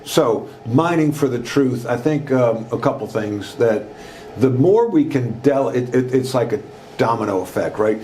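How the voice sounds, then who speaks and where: Loud, emphatic male storyteller. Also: medium pitch, expressive, unhurried, a little hiss or hum. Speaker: podcast guest, leadership author and former basketball coach. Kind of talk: conversational storytelling interview.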